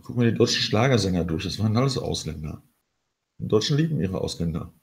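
A man's voice: on the low side, lively intonation, quick pace, vocal fry. A man in a casual podcast discussion, reasoning aloud in a tentative, musing way. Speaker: podcast panelist.

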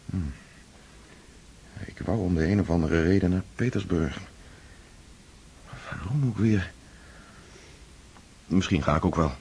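A man's voice: deep voice, measured pace, long pauses, a little mellow, slight background noise. An actor playing a pensive, absent-minded nobleman who speaks in a musing, hesitant way. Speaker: Pierre, a nobleman. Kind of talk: radio drama acting.

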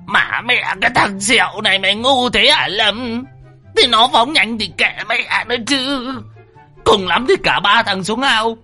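A man voicing a panicky, cursing old male village shaman in a shrill, screeching, whiny voice. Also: animated, a little slow, soft background music, full character voice. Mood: scolding. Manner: frantic yelling and scolding.

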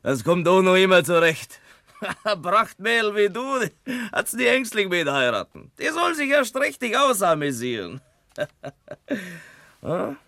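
Radio drama: leering, swaggering village rogue, extremely animated pitch, insinuating, dry studio sound. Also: male voice, measured pace, vocal fry, no echo, full character voice. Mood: insinuating, boastful. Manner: sly, teasing, insinuating. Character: cocky, leering, swaggering village womaniser and bully. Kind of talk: German radio play acting in dialect.

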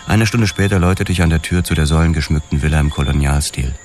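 A man's voice: extremely low pitch, quick pace, darkish tone, faint music behind. An actor playing a world-weary, haunted police investigator who narrates his past in a noir radio drama.

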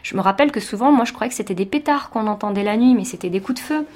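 A woman's voice: mid-range voice, quick pace, darkish tone. A woman from France, a teacher, giving a reflective personal testimony in an interview, speaking thoughtfully with many hesitations.

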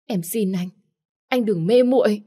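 A woman voicing a jealous young wife who pleads and accuses in a shrill, raised voice. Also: lively intonation, normal speed, lightly acted. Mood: shrill accusing.

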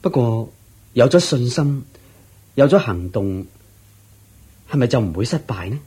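An actor playing a humble, troubled poor layman in a radio drama, husky-voiced, with a deferential, hesitant way of talking. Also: medium pitch, very animated, a little slow, dark tone, full character voice. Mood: humble, earnest. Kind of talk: Cantonese radio drama acting.

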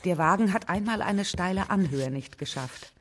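A woman's voice: on the low side, expressive, moderate pace, slight background noise, natural voice. A woman voicing a resolute pioneer's wife and mother as she reads out her letters to her husband, plain and matter-of-fact, in an audio documentary. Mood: composed, matter-of-fact. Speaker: voice actor reading letters.

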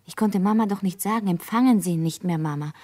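A woman's voice: medium pitch, fast, warm tone, close to an everyday voice. An actress playing a woman who looks back on her anxious youth, earnest and intimate, in a literary radio play. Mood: anxious relief.

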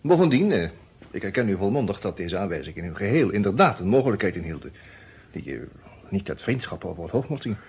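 A man's voice: on the low side, big pitch swings, fast, husky, heavily acted. A man acting a polite lawyer who tells his own story in an old radio drama, in a wry, reflective and formal manner. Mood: conciliatory. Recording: muffled, band-limited old radio recording.

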